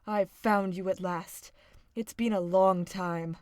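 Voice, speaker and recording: Lady doing a storybook hunter man, stern n resolute, loud accusing voice. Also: medium pitch, fairly even intonation, slow, dry recording, clean studio sound, pitched below the performer's own voice, natural voice. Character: gruff, resolute male hunter. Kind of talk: fairy tale storybook voice.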